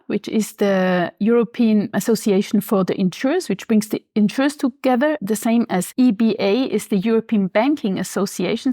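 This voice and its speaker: A woman from Switzerland, a banker being interviewed, explaining finance in a measured, precise way. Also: a little high, a little mellow, no room sound, studio quality.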